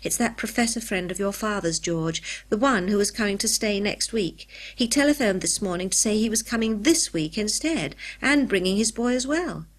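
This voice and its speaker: A woman voicing a practical, harried female cook in a children's story, brisk and fussing. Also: medium pitch, animated, lightly acted. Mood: harried, doubtful. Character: harried household cook, practical woman, scolding but kindly.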